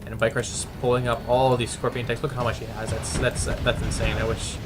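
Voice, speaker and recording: A man casting a strategy game replay, halting with fillers and restarts and breaking into bursts of excitement. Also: medium pitch, noisy background. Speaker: game commentator. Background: background music and keyboard typing.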